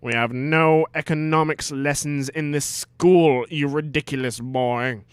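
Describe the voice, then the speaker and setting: A man voicing a stern, irritable potions professor in a haughty, deliberate, theatrical way. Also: on the high side, clear, resonant tone, no echo, studio quality, natural voice. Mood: irritated.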